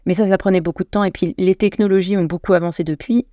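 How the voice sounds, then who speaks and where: French woman host, solo podcast chat, self-correcting, warm mellow voice. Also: fairly low pitch, fairly even intonation, fast talker, dry recording, studio quality. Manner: chatty, self-deprecating, many restarts and self-corrections.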